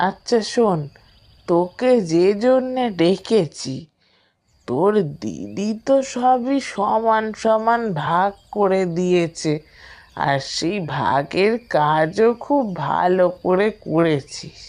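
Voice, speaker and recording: A woman voicing a caring, shrewd old village grandmother in a cartoon, speaking in a measured, inquisitive way. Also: lowish voice, expressive, darkish tone. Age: older. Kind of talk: Bengali moral-tale cartoon voice acting.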